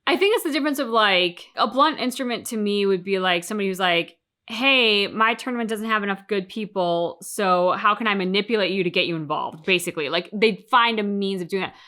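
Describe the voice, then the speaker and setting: A woman's voice: medium pitch, fairly quick, sharp tone. A woman co-hosting a reaction channel, talking through her thoughts casually and emphatically, with plenty of colloquial turns of phrase.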